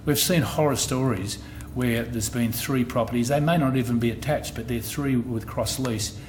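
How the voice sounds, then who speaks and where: A middle-aged man with an Australian accent, an insurance executive giving a presentation at a public meeting, measured and often restarting his phrases. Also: mid-range voice. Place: meeting hall. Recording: noisy meeting room recording.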